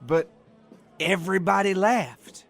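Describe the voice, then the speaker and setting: An actor in an audio drama playing a boisterous, folksy Irish-American barfly who loves being the center of attention. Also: male voice, sits high, slow pace, gravelly, a bit bright, soft background music, heavily acted. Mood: bewildered, indignant.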